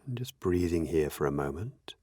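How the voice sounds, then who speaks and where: A man leading a guided meditation as a teacher, speaking gently in measured, unhurried phrases with long pauses. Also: very deep voice, lively intonation, dry recording, studio quality. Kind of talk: guided meditation, slow spoken instructions.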